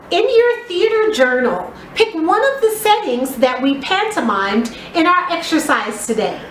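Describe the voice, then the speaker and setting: A woman giving an instructional drama lesson for young children, speaking in an expressive, encouraging, clearly enunciated way. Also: high voice. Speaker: drama teacher. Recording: roomy, echoey sound.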